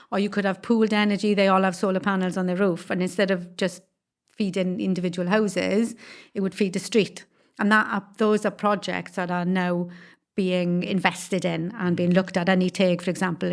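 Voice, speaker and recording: Low gravelly woman. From Wales. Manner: steady, explains step by step with examples. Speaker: guest, business owner. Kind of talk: explanatory interview talk.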